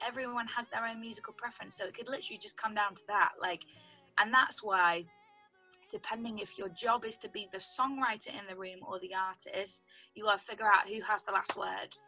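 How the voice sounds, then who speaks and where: Chatty husky young woman on a call. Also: medium pitch, fairly even intonation, fairly quick, bright, forceful tone, faint music behind, low-quality recording. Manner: chatty, explains with lots of "like" and "do you know what I mean". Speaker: songwriter guest. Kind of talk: casual video call interview. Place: home room indoors. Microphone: video call webcam.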